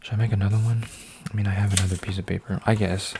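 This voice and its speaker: A man in his twenties, an ASMR creator, rambling softly with lots of fillers and restarts while folding paper, sometimes dropping to a whisper. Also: fairly low pitch, little pitch movement, very fast, background noise. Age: 20s. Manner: meandering, full of fillers and restarts. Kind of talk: soft-spoken rambling ASMR.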